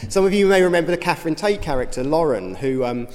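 A man with a slightly hoarse voice, giving an informal, earnest talk as a youth ministry director in a church. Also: on the high side, expressive, quick pace, darkish tone. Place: church hall. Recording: reverberant hall.

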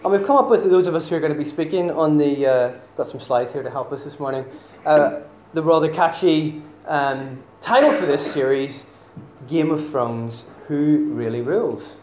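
Northern Irish preacher in a roomy church. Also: male voice, a little high, normal speed, a little mellow, slight background noise. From Northern Ireland. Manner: chatty and self-deprecating, many fillers. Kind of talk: sermon. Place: church hall.

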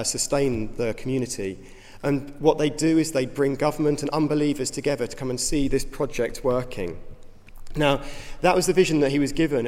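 A slightly hoarse man speaks in an informal, earnest way, with a few fillers. His voice sits at a middling pitch. The long reverb of a church hall trails each phrase. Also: darkish tone. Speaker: youth ministry director. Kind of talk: church talk.

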